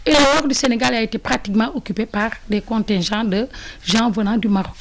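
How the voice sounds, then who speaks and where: A woman from Senegal explaining history in an interview, halting and thoughtful with many restarts. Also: a little high, slight background noise.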